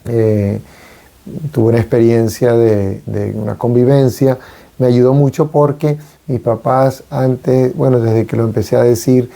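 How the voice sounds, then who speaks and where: A man in his forties from Venezuela, a priest, talking on a radio show in an unhurried, reflective way with frequent hesitations. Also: mid-range voice, flat delivery, gravelly, mellow. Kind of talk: casual conversation on a radio talk show.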